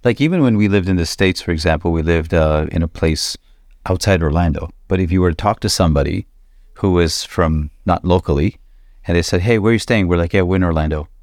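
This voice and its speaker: Low-voiced male host, casual, talks through ideas with questions. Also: a little fast, darkish tone, no echo, studio quality. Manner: musing, asks questions and spins hypotheticals. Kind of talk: casual conversation.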